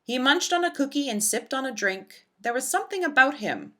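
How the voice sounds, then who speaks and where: A woman narrating a rhyming children's storybook in an expressive, deliberate storytelling manner for young listeners. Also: sits high. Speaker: children's book read-aloud narrator.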